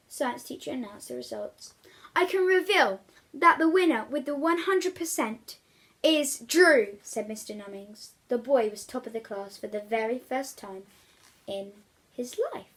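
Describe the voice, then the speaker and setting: A woman reading a children's story aloud with expressive, dramatic emphasis. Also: a little high. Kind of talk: animated read-aloud storytelling for young children.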